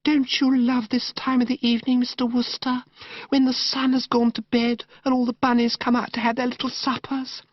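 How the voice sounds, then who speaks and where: A man's voice: very high voice, monotone, no echo, muffled recording, pitched above the performer's own voice. A man doing a gushing, dreamy young woman. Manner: breathy, sighing, drawn-out gushing. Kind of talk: audiobook character voice.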